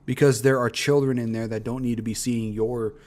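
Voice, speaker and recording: Male reaction streamer, mid-pitched, blunt and profane, casual delivery. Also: little pitch movement, a little fast, a little mellow. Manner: blunt, profane ranting with frequent swearing.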